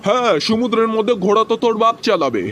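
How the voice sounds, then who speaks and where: Man doing cheeky high-voiced cartoon guy. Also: animated, racing, loud music behind the voice. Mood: teasing. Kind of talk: comedy cartoon dub.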